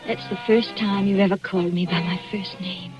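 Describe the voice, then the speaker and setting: A woman's voice: medium pitch, fairly even intonation, fairly quick, over music, lightly acted. An actress playing an anxious young wife who pleads with her husband, in 1940s radio acting with a dull old sound. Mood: wistful.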